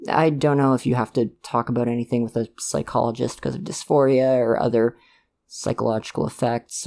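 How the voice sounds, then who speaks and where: Man playing a supportive, awkward dad, creaky voice. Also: mid-range voice, darkish tone, close to an everyday voice. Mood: reassuring, supportive. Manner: hesitant, reassuring, rambling, earnest. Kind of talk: ASMR roleplay, one-sided conversation with listener.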